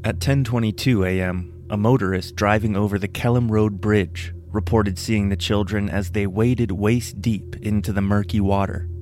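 A man reading a scripted first-person story as narrator, in a measured, solemn manner. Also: fairly low pitch, steady tone, darkish tone, slight background noise. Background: music.

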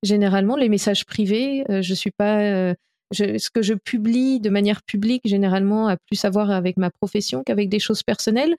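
A French-born woman speaks as a guest on an interview, her voice warm and mellow. She talks earnestly, often pausing on fillers and restarting her sentences. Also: mid-range voice. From France. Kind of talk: podcast interview answers.

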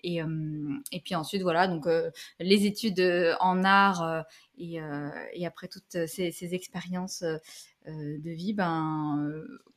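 A woman's voice: fairly low pitch, fairly even intonation, takes it slowly. A woman in her thirties from Algeria and Paris, chatting as an interview guest in a hesitant, meandering way. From Algeria and Paris, France. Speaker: podcast guest, designer and creator. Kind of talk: casual interview chat.

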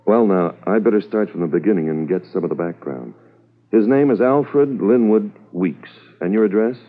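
An actor playing a brisk, authoritative police commissioner in a 1950s radio drama, methodical and official. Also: male voice, fairly low pitch, lightly acted. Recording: muffled, thin old radio recording.

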